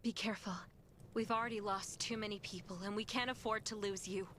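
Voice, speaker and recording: Game survivor: woman as responsible, worried caretaker, mid-pitched, slight background noise. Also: fairly even intonation, a little fast, fairly bright tone, close to an everyday voice. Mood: anxious care. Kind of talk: video game dialogue.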